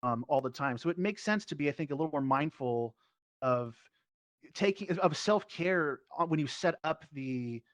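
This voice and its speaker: A man's voice: a little high, expressive, moderate pace, dry recording. A man teaching performance, speaking reflectively and explaining his thoughts in a casual panel talk over a VR headset microphone.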